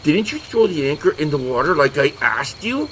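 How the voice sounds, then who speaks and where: An actor plays an exasperated male monster hunter in a comedy audio drama. His voice runs high and jumps around with sarcastic indignation. Outdoor noise sits clearly behind him. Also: very animated, moderate pace, fairly bright tone, low-quality recording. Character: exasperated, foul-mouthed brother in monster hunting trio.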